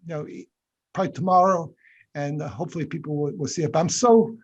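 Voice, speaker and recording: A high, husky male voice comes through a dull, lo-fi video call. He runs a casual online class, chatty and laughing a lot, tripping over his restarts. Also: fast talker. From Boston area. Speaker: lecturer hosting a finance session.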